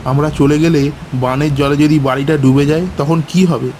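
A man voicing a gentle, worried poor village father in a cartoon. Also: fairly high pitch, fairly even intonation, normal speed, slight background noise, natural voice. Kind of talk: cartoon voice acting.

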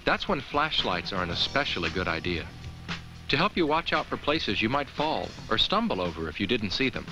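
Friendly low-voiced talking pumpkin. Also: male voice, sing-song, a little fast, over music, low-quality recording. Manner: earnest, reassuring, simply explaining to children. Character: friendly talking Halloween jack-o'-lantern, kindly and earnest. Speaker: narrator. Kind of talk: scripted children's educational film narration.

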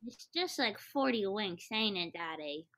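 A woman's voice: medium pitch, expressive, unhurried, a bit bright. A woman playing a mischievous, bratty little girl with a childish, wheedling manner in an old-time radio comedy. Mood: mischievous, innocent.

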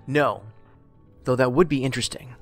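An actor playing an analytical, pedantic federal investigator in an audio drama, with precise, clipped, probing speech. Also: male voice, medium pitch, steady tone, moderate pace, a bit bright, soft background music. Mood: pointed.

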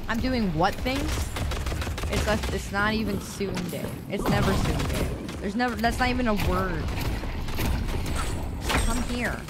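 A woman's voice: mid-range voice, lively intonation, a little slow, long pauses. A young adult woman with a Midwestern American accent, chatting playfully while streaming a game, often repeating phrases in a sing-song way. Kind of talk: casual gameplay streaming chat. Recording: loud game noise underneath.

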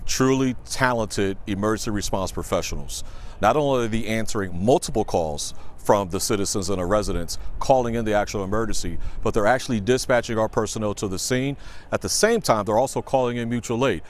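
A middle-aged man gives a statement as a fire chief. His voice is fairly low, recorded outdoors with faint traffic noise. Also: a little fast. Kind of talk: interview statement. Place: outdoors by a building.

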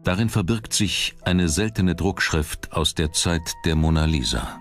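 Very deep-voiced male documentary narrator over music. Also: unhurried.

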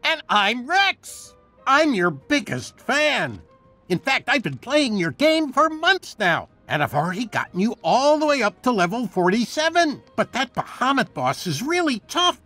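Excitable high-pitched toy dinosaur. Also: male voice, a little slow, faint music behind, exaggerated voice. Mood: eager, gushing. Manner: gushing, stammering. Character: excitable, anxious toy dinosaur, eager fan. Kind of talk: animated video game dialogue.

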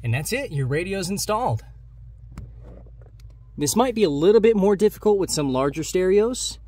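A man's voice: high-pitched, expressive, normal speed, darkish tone. A young American man giving instructional how-to narration as host of a DIY car channel. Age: young adult. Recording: clear background noise, clicks and jangling.

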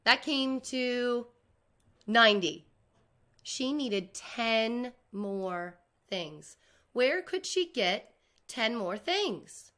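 A middle-aged woman reading a children's picture book aloud like a teacher, expressively and with deliberate enunciation. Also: fairly high pitch. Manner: deliberate enunciation, animated for young listeners. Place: indoors, video recording.

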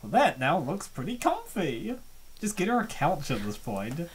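A woman giving excitable, theatrical, joking reaction commentary as narrator of a comic dub. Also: deep voice, moderate pace, slightly rough.

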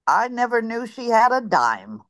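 A woman's voice: fairly high pitch, sing-song, measured pace, no echo. A woman reading a tart, gossipy churchgoing woman at a funeral in an online play reading. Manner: tart, sharp-tongued.

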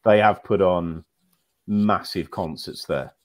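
A man's voice: low-pitched, slow, a crowd in the background. A man on a fan podcast panel over a video call, rambling with restarts and lots of "you know". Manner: rambling, repeats words, many "you know"s. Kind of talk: casual fan podcast chat. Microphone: video call with earphones.

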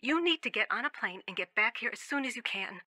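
Worried young woman, sharp bright tone, higher pitch, dry sound, urgent, audio drama. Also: animated, fast talker, dry recording. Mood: urgent, grave. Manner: urgent, insistent. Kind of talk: radio drama dialogue.

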